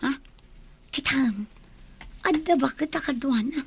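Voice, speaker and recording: A woman's voice: sits high, a little slow, gravelly. A woman playing a teasing young village friend in a radio drama. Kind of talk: Ilocano radio drama acting.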